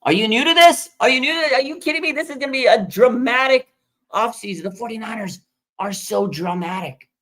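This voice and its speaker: An American man of about forty from Las Vegas, hosting a casual live stream and reading out fan comments in an excitable way. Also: brisk. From Las Vegas, Nevada, USA. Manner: excitable, with sudden pitch jumps. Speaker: livestream host.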